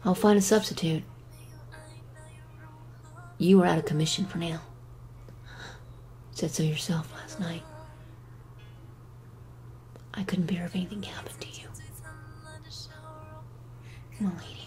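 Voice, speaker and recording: A woman voicing a devoted, protective young male cat superhero in an audio drama, with earnest, tender, halting delivery. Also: fairly low pitch, steady tone, darkish tone, natural voice. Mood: determined, tender. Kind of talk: fan audio drama acting. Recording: music under the voice.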